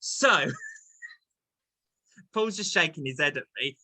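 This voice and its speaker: A man's voice: on the high side, sing-song, extremely slow, pushed and bright, breathy edge, no room sound. A man hosting an online chat show in a chatty, playful, enthusiastic and jokey way.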